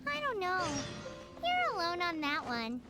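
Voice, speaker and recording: Excitable little fairy sidekick, childlike, very high, unhurried pace, video game. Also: female voice, over music, exaggerated voice. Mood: puzzled, cheeky. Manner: childlike, speaks of self in third person. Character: tiny excitable floating fairy companion, childlike girl.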